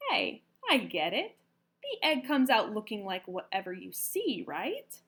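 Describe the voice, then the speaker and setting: A woman voicing a kindly, excitable farm woman from a storybook, gushing and exclamatory. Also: mid-range voice, unhurried. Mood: excited.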